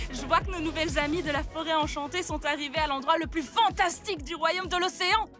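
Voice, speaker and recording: A woman voicing a bubbly, excitable seahorse girl in an animated series, with a gushing, enthusiastic delivery. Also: high-pitched, a little fast, music in the background, close to an everyday voice. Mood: bubbly, welcoming. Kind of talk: French animated series dubbing.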